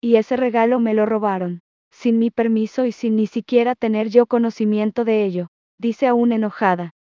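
Mellow woman dictating phrase by phrase. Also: a little high, fairly even intonation, dry recording, lo-fi sound. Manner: reads each phrase, then repeats it slowly, calling out punctuation. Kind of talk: reading a news text aloud as dictation.

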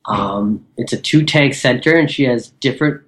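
A chatty male co-host rambles over a remote call. He uses plenty of fillers. His voice sits at a middle pitch. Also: normal speed, a bit bright. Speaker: podcast co-host. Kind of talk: casual podcast chat.

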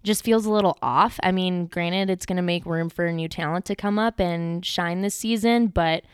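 Woman, podcast co-host, measured delivery, slightly low pitch, very clear voice. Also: dry recording, clean studio sound. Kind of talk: casual podcast commentary on ski racing.

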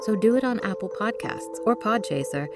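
A woman's voice: mid-range voice, lively intonation, moderate pace. A woman reading a scripted podcast outro and credits as the host. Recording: clear music bed under the voice. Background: music with chimes.

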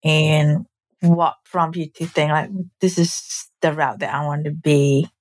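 A woman's voice: sits low, steady tone, slow. A woman hosting an interview podcast, moving between scripted introduction and conversational questions. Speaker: podcast host.

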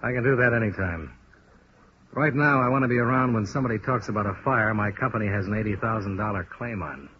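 A man's voice: lowish voice, fast, lightly acted. Dogged American radio sleuth male actor, terse and understated, 1950s detective serial, hissy vintage sound. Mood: terse, weary. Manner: clipped, hard-boiled, understated. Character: dogged, terse male insurance investigator narrating his case. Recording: muffled, noisy vintage radio recording.

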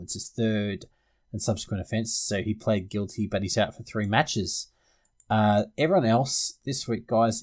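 A man's voice: on the low side, darkish tone. An Australian man co-hosting a sports podcast in an informal, conversational style. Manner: informal, conversational, addresses co-hosts as guys. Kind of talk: casual fantasy rugby league chat.

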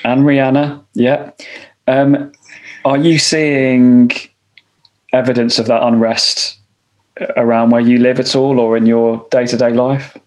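A man hosts a podcast interview and puts his questions calmly. His pitch stays in the middle and barely moves. His speech is measured and even. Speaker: podcast host.

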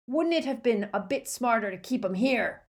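A woman voicing a gruff, blunt older male barkeeper who scolds. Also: a little high, lively intonation, moderate pace, voice pushed up. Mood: gruff, scolding.

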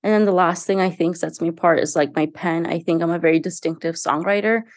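A woman's voice: on the low side, fairly even intonation, fast talker, no echo, studio quality. A young woman, a singer-songwriter, talking earnestly and casually about her music in an interview, with frequent fillers and restarts. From United States. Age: young adult.

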